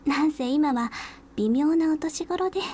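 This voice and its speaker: High-pitched polite Japanese schoolgirl, acted by a woman. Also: brisk, darkish tone, slight background noise, lightly acted. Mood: politely teasing. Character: soft-spoken quirky teenage schoolgirl, politely teasing. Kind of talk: Japanese visual novel voice acting.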